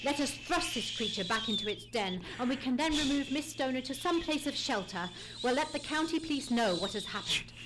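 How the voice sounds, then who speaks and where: A woman's voice: sits high, expressive, fairly quick, few pauses. A woman playing a keen, commanding detective in an old-time radio play, with a dramatic, declamatory delivery. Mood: grave, resolute. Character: Sherlock Holmes, keen, commanding male detective. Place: theatre stage, live radio play. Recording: noisy live stage recording.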